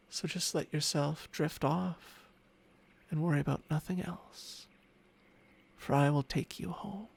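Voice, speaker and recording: A man playing a smug, possessive ancient dragon familiar in an intimate ASMR roleplay, teasing and doting in breathy murmurs that slip into whispers. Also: on the high side, darkish tone, exaggerated voice. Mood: possessive, soothing. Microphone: close-up microphone with spatial panning.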